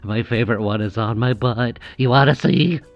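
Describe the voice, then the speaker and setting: A man's voice: mid-range voice, moderate pace, highs cut off, soft background music, lightly acted. A man playing a nervous, adoring, creepy superfan with a hesitant, awkward, eager manner in a comedic audio drama. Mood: eager, infatuated.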